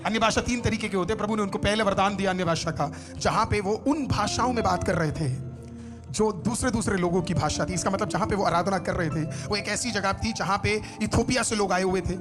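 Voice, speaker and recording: High-voiced preaching pastor. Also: male voice, a little fast. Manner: swings from hushed asides to shouted exhortation. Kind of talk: Hindi sermon with English phrases. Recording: sermon with music behind. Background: music.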